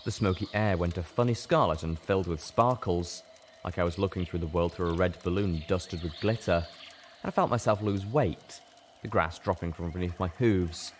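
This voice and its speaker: Deep-voiced man narrating a dramatic story. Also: normal speed. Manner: intense, theatrical. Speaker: reader of a fan fiction story. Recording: clearly noisy background.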